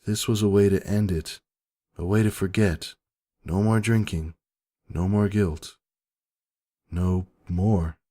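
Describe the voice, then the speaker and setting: A man's voice: sits low, steady tone, slow, pauses often, warm tone. A man giving an earnest, solemn dramatic narration of a first-person story. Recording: background music.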